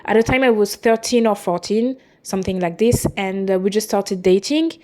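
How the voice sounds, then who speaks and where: Woman in her twenties, podcast host, non-native English speaker, halting, self-correcting, confessional. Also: mid-range voice, a little mellow. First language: not English. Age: 20s. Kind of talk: personal confessional monologue.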